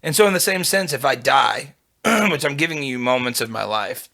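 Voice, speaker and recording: A young man with a low voice, rambling casually on a podcast, with constant fillers and swearing. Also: expressive, moderate pace, creaky, pushed and bright. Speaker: podcast guest. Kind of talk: casual chat.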